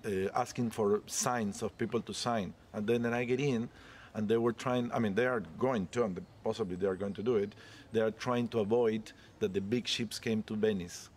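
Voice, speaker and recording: Man from peru chatting outside in a garden, lowish voice, a bit of street hum behind. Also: fairly even intonation, moderate pace, hardly any pauses, a little mellow. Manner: chatty, rambling, non-native English. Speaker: interviewee, cruise ship photographer. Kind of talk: casual street interview. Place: leafy garden courtyard outdoors.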